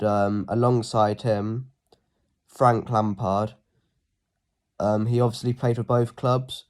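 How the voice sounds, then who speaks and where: A man with a cold, sounding a bit sniffly, talking casually through a football team pick, with frequent ums and phrases that trail off quietly. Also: fairly low pitch. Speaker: football fan channel host. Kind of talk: casual solo preview talk.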